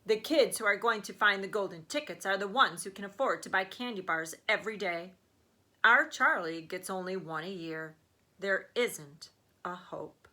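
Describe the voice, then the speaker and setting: A woman's voice: mid-range voice, normal speed, sharp tone, natural voice. Woman as a blunt, pessimistic old grandfather. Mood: resigned, blunt.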